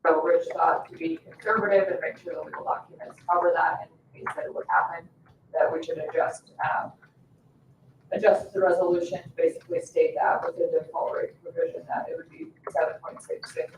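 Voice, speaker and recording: A man's voice: a little high, vocal fry. A man giving an informal explanatory presentation to a board, recorded from a distance in an echoey meeting room. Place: indoor public meeting room. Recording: echoey room, distant mic, faint noise.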